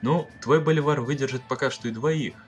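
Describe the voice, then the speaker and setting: A man's voice: medium pitch, fairly quick, soft background music, natural voice. A young man in an amateur audio play, acting a cheerful, boisterous bandit of the American West. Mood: excited, boisterous. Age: young adult. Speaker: amateur school performer.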